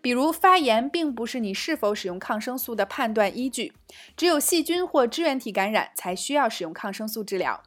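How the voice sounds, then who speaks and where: A woman, a native Mandarin speaker, hosting a language lesson in scripted narration with a bright, upbeat way of explaining. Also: fairly high pitch, lively intonation, a little fast. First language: Mandarin Chinese. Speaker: host and language teacher.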